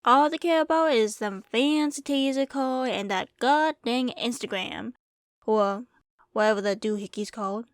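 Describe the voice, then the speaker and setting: Woman acting as old frontier saloon keeper, higher voice, folksy rambling storyteller, dry close sound. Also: a little slow, no room sound. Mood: wry, dismissive. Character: folksy old Wild West saloon keeper, teasing and welcoming. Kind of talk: ASMR roleplay, storytelling to the listener.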